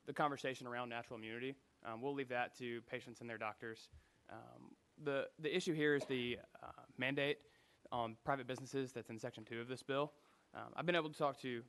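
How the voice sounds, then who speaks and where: A man with a smooth, resonant voice testifies on behalf of a governor's office. He is polite but hesitant, with frequent ums, and his pitch sits in the middle range. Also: a bit bright. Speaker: governor's legislative liaison. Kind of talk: formal testimony.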